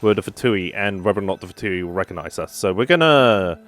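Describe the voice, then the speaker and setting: Low-voiced man doing game commentary. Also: expressive, fast, a bit bright. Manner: reads dialogue aloud and adds asides. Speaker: gaming channel host. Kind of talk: casual let's play commentary. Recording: faint game music behind the voice.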